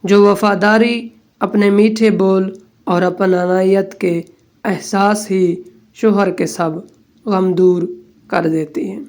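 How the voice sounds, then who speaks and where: A woman reading out scripted quotations as a narrator, in measured, deliberate phrases with pauses. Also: mid-range voice, fairly even intonation, a little mellow. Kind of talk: scripted recitation of Urdu quotes.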